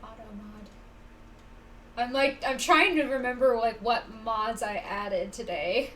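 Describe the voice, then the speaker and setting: Woman, live streamer, casual chat, lightly high pitch, leisurely delivery, slightly noisy distant recording. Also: unhurried, a bit bright. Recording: distant mic, faint background noise.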